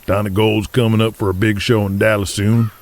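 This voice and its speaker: An actor playing a gruff, shrewd wrestling promoter from Texas in an audio drama, with a folksy, deliberate way of speechifying. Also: male voice, fairly low pitch, level tone, darkish tone, slight background noise, close to an everyday voice. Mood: keen, scheming.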